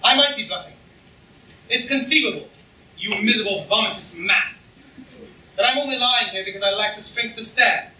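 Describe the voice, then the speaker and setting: A young man playing a vengeful, taunting hero in a theatrical staged reading, speaking in a deliberate, declamatory way. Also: high-pitched, pushed and bright. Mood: taunting, defiant. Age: young adult. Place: lecture-room stage with audience, filmed from seats. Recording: echoey distant hall recording with noise.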